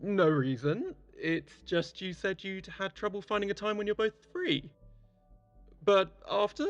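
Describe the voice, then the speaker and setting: An actor playing a playful, teasing young clinic colleague with a melodramatic streak, bantering in an audio drama. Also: male voice, sits high, lively intonation, measured pace, no echo, highs cut off, soft background music. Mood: teasing, curious.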